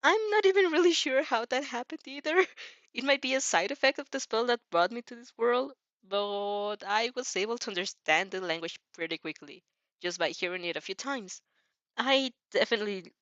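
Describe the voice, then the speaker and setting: A woman's voice: fairly high pitch, expressive, moderate pace, a bit bright, no echo, highs cut off. A woman voicing a curious, proud young vampire girl of royal birth, frank and upbeat, in an audio fan fiction. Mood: matter-of-fact.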